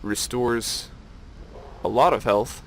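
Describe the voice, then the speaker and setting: A man's voice: medium pitch, slow, a little hiss or hum. A man giving casual, rambling gameplay commentary, thinking aloud with playful self-mockery. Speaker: gamer narrating his own playthrough.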